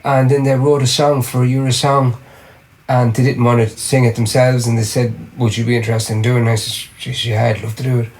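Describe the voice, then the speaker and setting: A man's voice: mid-range voice, fairly quick, rough recording. A middle-aged Irish man, a singer and drummer, chatting casually as a podcast guest, rambling with lots of restarts. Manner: rambling, restarts often, animated storytelling. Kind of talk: casual interview chat.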